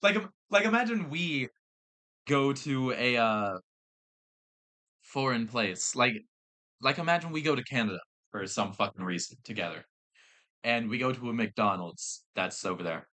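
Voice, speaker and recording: A young man with a Southern accent who works in fast food, telling a work story in casual chat. He swears often, laughs a lot and acts out the people he quotes. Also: medium pitch, expressive, pushed and bright. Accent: American Southern. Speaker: podcast co-host.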